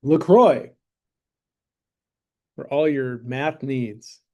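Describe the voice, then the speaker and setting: Joking high school math teacher. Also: male voice, very slow, warm tone. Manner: joking, conversational, checks in with "right?" and "okay?". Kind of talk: classroom lesson with a mock sponsor plug. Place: classroom.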